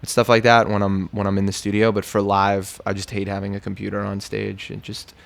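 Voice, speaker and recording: A man's voice: on the low side, monotone, a little fast, vocal fry. An American man, a musician, chatting casually in an interview in a laid-back, slangy, conversational way. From New York, United States.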